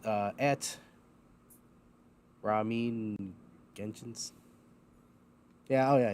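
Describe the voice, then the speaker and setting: A man's voice: fairly low pitch, slight background noise. Rambling man hosting a livestream. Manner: rambling, many ums and uhs, laughs at himself. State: a bit loopy and foggy. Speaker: host. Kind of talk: casual livestream chat.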